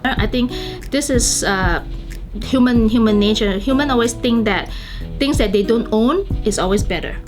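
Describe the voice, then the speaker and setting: A woman's voice: a little high, moderate pace. A woman with a Malaysian accent telling anecdotes in an interview and acting out the quotes. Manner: storytelling, acts out quotes. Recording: background music throughout.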